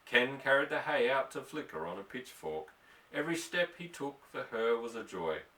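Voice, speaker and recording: Animated male audiobook narrator, echoey room. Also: mid-range voice, normal speed. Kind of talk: scripted story narration. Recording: echoey room, distant microphone.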